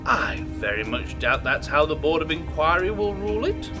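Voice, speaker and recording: A man acting as a scheming starship officer talks in a fairly high voice that swoops with lively, arch intonation. He is polite and insinuating, a sci-fi audio drama schemer over music. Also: normal speed. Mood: sly, persuasive. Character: smooth-talking, scheming male disgraced officer, slyly persuasive. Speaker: starship officer, former captain.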